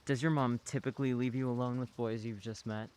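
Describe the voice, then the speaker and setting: A young man playing a relaxed, friendly teenage boy neighbor in a radio play. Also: mid-range voice, brisk, clear, resonant tone, close to an everyday voice. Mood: easygoing. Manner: relaxed, friendly, casual slang.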